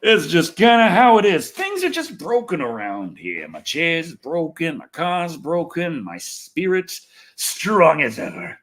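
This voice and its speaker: A man's voice: fairly high pitch, wildly swooping pitch, a little slow, hardly any pauses, fairly bright tone. A man chatting casually on a livestream, rambling and griping in a wry, exasperated, sweary way. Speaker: livestream host. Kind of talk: casual rambling livestream chat, reading comments.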